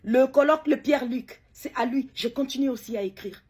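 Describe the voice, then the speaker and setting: Husky middle-aged woman, hesitant testimony. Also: sits high, a little mellow. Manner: hesitant, many pauses and repeated words. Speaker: bereaved mother. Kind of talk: emotional personal testimony.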